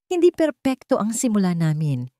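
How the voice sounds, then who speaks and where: A woman's voice: mid-range voice, sing-song, moderate pace, no echo, clean studio sound, lightly acted. Woman reading as a solemn, wounded Filipino male CEO. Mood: resolute. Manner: softened, solemn confession. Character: regretful wounded male CEO, earnest and protective.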